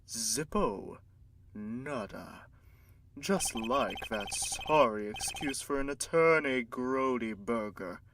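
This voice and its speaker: Pompous villain CEO, swooping theatrical delivery. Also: male voice, mid-range voice, noisy background. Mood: cold contempt. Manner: grandiose, theatrical, condescending, invented fancy words. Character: pompous, menacing male corporate CEO villain. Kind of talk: theatrical video game fandub acting.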